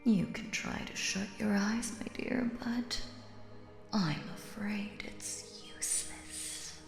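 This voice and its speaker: A woman playing a sinister, possessive stage magician in a roleplay audio, speaking theatrically and coaxingly in a slow, silky manner. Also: mid-range voice, creaky, reverberant, in a whisper, music in the background. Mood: coaxing, teasing menace. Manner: theatrical, slow, silky, addresses listener as dear. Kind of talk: scripted roleplay audio acting.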